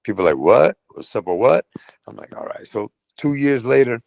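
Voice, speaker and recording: A middle-aged man from Brooklyn with a New York accent, telling profane, animated stories as a guest on a podcast. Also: mid-range voice, moderate pace, gravelly, dry recording, lo-fi sound. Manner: profane, animated storytelling with voiced quotes. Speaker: guest, metal vocalist. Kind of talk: casual storytelling.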